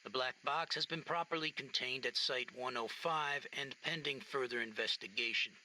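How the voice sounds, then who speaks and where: A man's voice: medium pitch, moderate pace, bright, forceful tone, slight background noise, rough recording. A man playing a formal official reading a report in a video game, impersonal in manner. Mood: detached. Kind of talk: scripted game narration.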